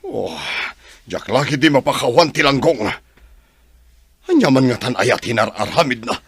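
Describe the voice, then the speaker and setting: A man's voice: mid-range voice, animated, normal speed, breathy edge. A man acting in an audio drama as a stern, commanding grandfather-to-be. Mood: stern, disapproving.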